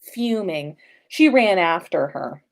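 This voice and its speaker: A woman reads a story aloud as a podcast host. Her delivery is slow and expressive, with pitch that moves around a great deal. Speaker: podcast host reading fan fiction.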